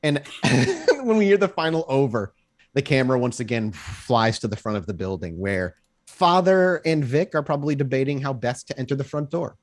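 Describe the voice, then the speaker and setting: A man's voice: mid-range voice, sing-song, normal speed. Male game master, mid-range voice, conversational, painting scenes and calling for dice rolls. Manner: descriptive, conversational. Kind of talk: improvised tabletop game narration.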